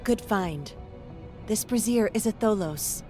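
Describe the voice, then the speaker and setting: A woman's voice: mid-range voice, lively intonation, slow, a little mellow, soft background music. An actress playing an earnest, determined female adventurer who guides her allies in a video game. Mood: analytical. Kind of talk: video game cutscene acting.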